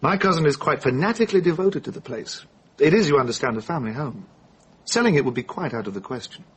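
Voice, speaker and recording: A stiff solicitor. He talks quickly and formally, with a very husky, unclear tone. The manner is clipped and impassive, as in a radio play. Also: fairly high pitch, expressive. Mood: emphatic, formal. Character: stiff, impassive English male provincial lawyer. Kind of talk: radio drama acting.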